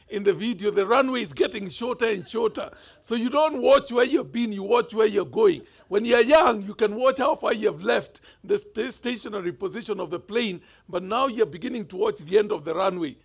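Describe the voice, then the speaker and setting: A Kenyan man in his fifties preaches from a church stage with a fairly high voice. He tells his story in a relaxed, conversational way, gesturing as he talks. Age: 50s. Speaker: bishop preaching. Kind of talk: sermon.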